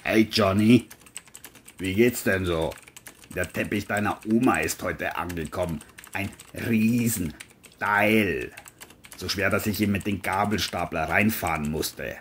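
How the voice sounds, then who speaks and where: A narrator voicing a burly middle-aged male carpet dealer in an audio crime drama, gruff, taunting and smug. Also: sits low, unhurried, a little hiss or hum, voice pushed down. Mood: jovial.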